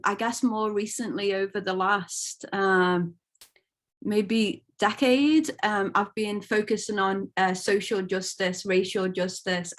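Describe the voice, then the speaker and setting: A woman in her fifties from Liverpool, an academic on a panel, introducing herself in a friendly, appreciative way with a few stumbles over a video call. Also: medium pitch. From Liverpool, England.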